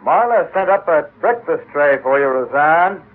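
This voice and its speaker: A man's voice: fairly high pitch, expressive, moderate pace, noisy background, lightly acted. An actor playing an offhand man of the house in an old radio drama. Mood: casual, offhand. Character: casual, evasive man of the household. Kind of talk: 1940s-50s radio drama acting. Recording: tinny old radio recording.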